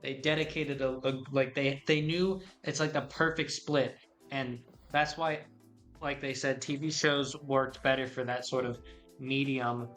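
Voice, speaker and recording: Bright-voiced young man, rambling. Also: medium pitch, steady tone, unhurried, soft background music. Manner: rambling, many restarts and fillers. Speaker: podcast co-host. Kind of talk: casual chat.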